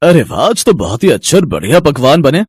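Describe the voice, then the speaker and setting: Guy doing a young husband in a cartoon drama, kinda high raspy voice, very lively. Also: a little fast. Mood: pleased. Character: easygoing teasing young husband and son. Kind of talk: Hindi cartoon family moral story.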